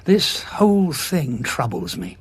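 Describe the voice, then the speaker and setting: An actor playing an indignant, morally troubled war veteran with a sardonic, emphatic manner in an audio drama. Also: male voice, medium pitch, very animated, slow, heavily acted. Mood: probing. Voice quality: husky, gravelly. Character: indignant, morally troubled war-weary Doctor, adult male.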